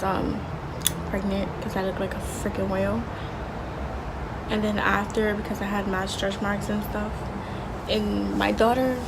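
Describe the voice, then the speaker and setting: Soft-voiced young woman, gravelly and flat. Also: heavy background noise. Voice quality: soft, low-volume voice. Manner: slangy, rambling, with frequent restarts. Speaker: vlogger. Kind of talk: casual confessional vlog.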